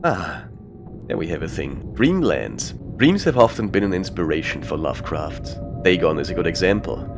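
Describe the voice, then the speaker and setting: A man's voice: low voice, big pitch swings, moderate pace. A man giving casual gameplay commentary, reading text aloud and muttering asides to himself as he plays. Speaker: game streamer. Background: game music.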